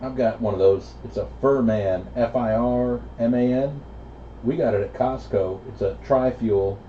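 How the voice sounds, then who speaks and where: Very mellow middle-aged American man, echoey radio. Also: medium pitch, a little slow. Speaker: amateur radio operator. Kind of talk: casual radio chat. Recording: echoey, muffled, noisy CB radio reception.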